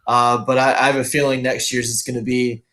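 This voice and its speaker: A man's voice: medium pitch, level tone, fairly quick, bright, forceful tone. A man hosting a casual author interview over a video call, upbeat and laughing often, with many fillers. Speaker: host.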